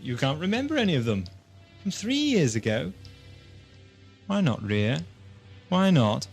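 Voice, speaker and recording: A man's voice: a little high, big pitch swings, unhurried, vocal fry, soft background music, heavily acted. A man playing an inquisitive, wry and polite time-travelling adventurer in an audio drama, with a questioning manner. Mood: probing.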